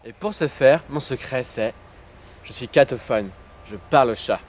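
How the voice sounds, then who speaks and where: A man's voice: medium pitch, moderate pace, noisy background, natural voice. A man playing a naive, penniless young oddball contestant in a reality show parody. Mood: earnest. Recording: music behind the voice.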